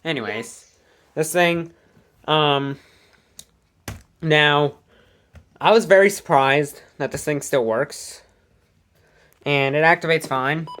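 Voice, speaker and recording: A casual American voice with a mid-range pitch presents a hobby collection. The delivery is informal and rambling, with a plain, matter-of-fact tone, as in a homemade showcase video. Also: slow, long pauses, fairly bright tone. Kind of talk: casual product showcase vlog. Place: indoor room at home.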